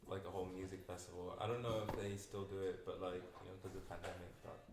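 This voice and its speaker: Deep, flat-voiced young host in a roomy space. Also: male voice, quick pace. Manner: laid-back, rambling, heavy use of "like". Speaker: podcast host. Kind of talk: casual podcast chat. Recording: roomy room with faint noise.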